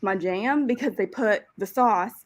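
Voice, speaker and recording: A woman's voice: mid-range voice, measured pace, no room sound. A woman from Tennessee co-hosting a casual talk show, playful and enthusiastic. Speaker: co-host. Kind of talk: casual chat.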